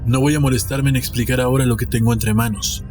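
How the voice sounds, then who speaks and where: A proud gentleman played by a male narrator speaks formally and grandly, guarding secrets and insisting on his sanity. It is a storybook reading with music clearly behind it. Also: medium pitch, fairly even intonation, fast talker, close to an everyday voice. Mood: grandiose, secretive.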